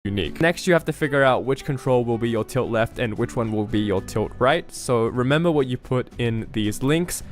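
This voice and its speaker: A man's voice: medium pitch, lively intonation, normal speed, hardly any pauses, soft background music. A man explaining game-building steps one by one, speaking directly to the viewer. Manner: instructive, addresses the viewer directly. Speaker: tutorial narrator. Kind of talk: step-by-step game tutorial narration.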